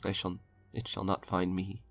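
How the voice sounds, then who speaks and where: Deep, gravelly man reading a horror tale. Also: completely flat delivery, normal speed. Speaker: narrator reading a horror short story. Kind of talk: dramatic audiobook-style narration. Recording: music under the voice. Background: eerie background music.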